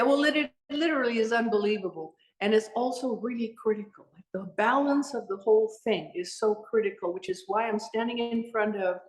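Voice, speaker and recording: Woman from Sweden and Norway, guest artist, earnest and passionate, echoey exhibition space. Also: mid-range voice. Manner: earnest, passionate, with frequent restarts. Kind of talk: casual interview talk. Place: at her art exhibition. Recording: echoey room.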